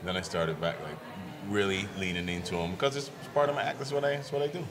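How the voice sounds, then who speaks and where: A man's voice: fast, a crowd in the background. A middle-aged man from Barbados, a comedian guest telling stories in casual interview chat. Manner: storytelling, acts out quoted voices in a high pitch.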